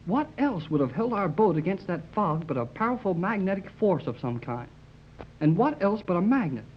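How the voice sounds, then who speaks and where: An actor playing an eager, impulsive American boy adventurer in a 1930s radio serial, excitable and full of exclamations. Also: sits high, moderate pace, slight background noise. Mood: excited. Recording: dull old 1930s radio recording.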